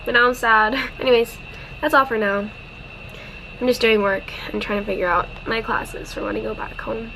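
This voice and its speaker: A woman's voice: a little high, fairly even intonation, moderate pace, creaky, bright, forceful tone. Chatty young woman vlogging, noisy background. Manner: chatty, rambling, laughs often. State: congested, stuffy nose. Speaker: vlogger, exchange student. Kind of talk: casual vlog chat to camera. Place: dorm room, then outdoors on campus.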